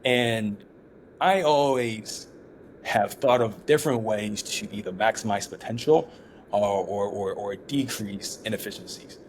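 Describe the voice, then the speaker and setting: A man from Ghana speaks as a founder in a relaxed interview. His voice sits very low. He pauses, repeats himself and restarts often, with a faint hiss of noise behind him. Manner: halting, many restarts and fillers. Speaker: startup founder, guest. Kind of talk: casual interview talk.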